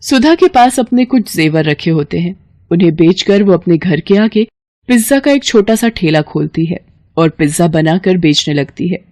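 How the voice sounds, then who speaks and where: A woman's voice: lowish voice, expressive, moderate pace, a little mellow, no echo, studio quality. A woman storyteller reading scripted narration for a moral bedtime story.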